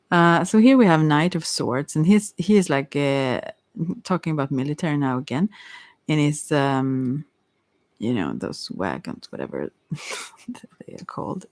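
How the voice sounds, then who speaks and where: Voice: mid-range voice, very animated, measured pace, long pauses, a little mellow, no room sound. Rambling, hesitant tarot reader, dry close sound. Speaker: tarot reader on a live stream. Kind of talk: casual improvised tarot reading chat.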